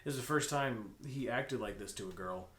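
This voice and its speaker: A man's voice: medium pitch, moderate pace, a bit bright. A man reading a story aloud as an amateur, breaking off for casual joking asides. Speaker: co-host reading fan fiction aloud. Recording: roomy, echoing room sound.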